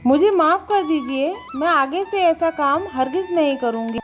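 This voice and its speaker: A woman's voice: high voice, a little slow, faint music behind. A woman voicing a farmer's scheming young wife in a moral story cartoon, with an exclamatory, dramatic delivery. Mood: apologetic.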